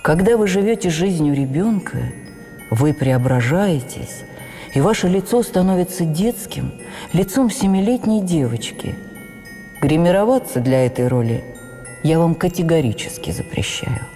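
Radio play role: imperious male stage director, played by a woman, emphatic, commanding, low-leaning and bright-toned with a softened edge. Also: expressive, normal speed, faint music behind, close to an everyday voice. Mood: firm.

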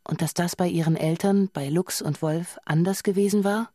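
A woman's voice: lowish voice, steady tone, moderate pace, warm tone, no room sound, studio quality, natural voice. A woman narrating a radio drama as a composed storyteller, reading scripted literary prose. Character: composed female storyteller of a science-fiction saga.

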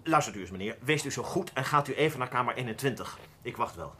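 Guy acting pushy young cop sidekick in a radio play, talks fast, official and brisk. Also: medium pitch, animated, background noise, natural voice. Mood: brisk, insistent. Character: brisk, insistent male police detective, junior partner.

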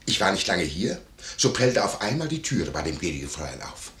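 An actor playing a nosy, greedy innkeeper in a classic stage comedy radio play, with an obsequious, gossipy, theatrical manner. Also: male voice, sits low, big pitch swings, a little fast, a bit bright, roomy sound, a little hiss or hum. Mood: excited, gossipy.

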